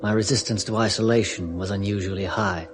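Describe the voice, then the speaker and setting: A man's voice: on the low side, fairly even intonation, a little fast, natural voice. Detached male astronaut voiced by a man for a 1970s radio play, matter-of-fact delivery, faint music underneath. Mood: quiet. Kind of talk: 1970s radio drama acting.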